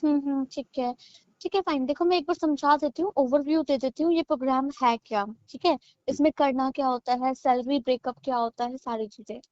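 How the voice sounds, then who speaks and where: A woman with a high voice explains things as a counsellor would. She keeps checking understanding. The sound is a dry, dull recorded call. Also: steady tone, brisk, darkish tone, dry recording. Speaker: career programme counsellor. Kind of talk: phone sales explanation.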